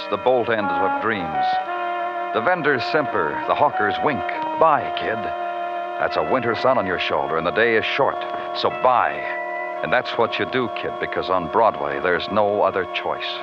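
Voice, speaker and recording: An actor playing a weary, brooding police detective who narrates his city beat in moody, deliberate, hard-boiled 1950s radio style. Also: lowish voice, expressive, natural voice. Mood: brooding, poetic. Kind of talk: 1950s radio noir acting, poetic narration. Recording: muffled old radio broadcast, loud music.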